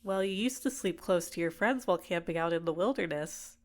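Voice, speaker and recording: A woman performs a manipulative villainess for an ASMR roleplay. Her voice sits on the low side, soft and deliberate, soothing her captive with possessive tenderness and gentle coaxing. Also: close to an everyday voice. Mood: warm, coaxing. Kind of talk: ASMR roleplay, intimate personal attention.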